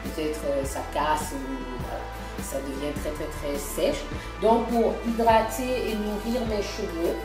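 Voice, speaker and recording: A woman's voice: lowish voice, fairly even intonation, unhurried. A woman from Congo chatting casually as a beauty vlogger, hesitant and full of fillers and restarts. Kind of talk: casual beauty vlog talk. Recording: echoey room with music behind. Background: background music.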